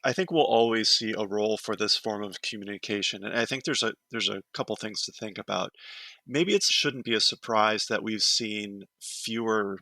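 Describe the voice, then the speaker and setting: A man giving an expert guest's measured explanations, often checking in with "right?". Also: lowish voice, bright, forceful tone, no echo. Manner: measured, explanatory, tag questions. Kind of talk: interview answers.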